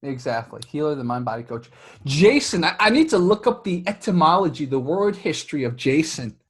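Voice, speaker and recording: A man from Southwest China hosts a casual interview in a high, slightly husky voice. He chats freely, laughs easily and restarts his sentences with plenty of fillers. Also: sing-song, a little fast, a bit bright. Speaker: podcast host.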